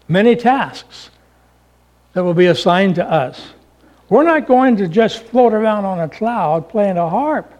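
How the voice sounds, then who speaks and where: Older man, preacher, sermon, folksy humor, rhetorical questions, high voice, lively swooping intonation. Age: 70s.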